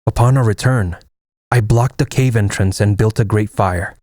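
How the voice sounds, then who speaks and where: A man playing a stoic, fatalistic Navajo army air force lieutenant in an audio comic, solemn and measured. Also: on the low side, a little mellow, no room sound, studio quality, lightly acted. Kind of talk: dramatic first-person narration and dialogue in an audio comic.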